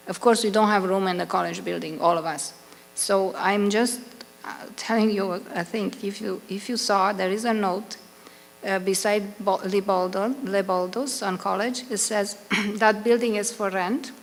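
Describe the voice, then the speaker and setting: A middle-aged woman from Romania with a Romanian accent, speaking earnestly and effusively at a public meeting, sometimes searching for words. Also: medium pitch. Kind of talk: public comment at a consultation meeting. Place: public consultation meeting in a hall. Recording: reverberant hall, faint hum.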